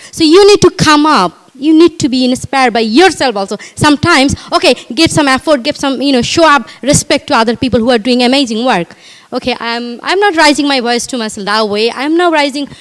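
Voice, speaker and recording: A middle-aged woman from Bangladesh with a Bangladeshi accent, giving an earnest personal speech at a community event, halting and repeating herself as she speaks to the audience. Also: high-pitched, in a large hall. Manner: earnest, repetitive, halting, addresses audience directly. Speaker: guest speaker. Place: community hall.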